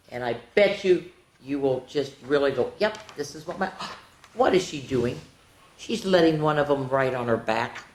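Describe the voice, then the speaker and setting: A middle-aged or older woman hosting children's storytime, reading picture books aloud in an animated way, stretching key words and chatting directly to the kids. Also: sits low. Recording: roomy, echoey room sound.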